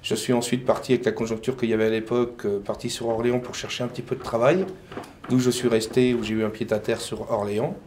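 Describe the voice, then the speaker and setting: Hesitant French painter-decorator, faint hiss. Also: male voice, mid-range voice, steady tone, quick pace, a little mellow. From France. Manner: hesitant, many fillers. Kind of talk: interview.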